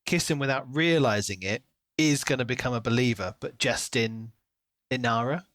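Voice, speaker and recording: A man with an average-pitched voice talks as a podcast co-host. He is conversational, reflective and enthusiastic about what he discusses. The sound is dry, with very little room in it. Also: normal speed, fairly bright tone, no echo. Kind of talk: casual book discussion.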